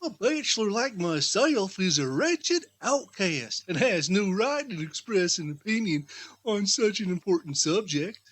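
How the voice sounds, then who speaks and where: A man's voice: high-pitched, sing-song, a little slow, fairly bright tone, no room sound, heavily acted. A man voicing a self-pitying young bachelor in a mock-mournful way for a storybook.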